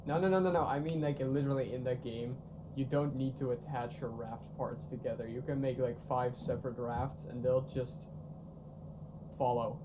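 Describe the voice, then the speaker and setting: Young guy on stream, jokey and laughy, mid voice, clear hiss on his mic. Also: mid-range voice, normal speed. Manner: animated, laughs often, playful sarcasm. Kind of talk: casual chat while gaming. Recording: noisy stream mic audio.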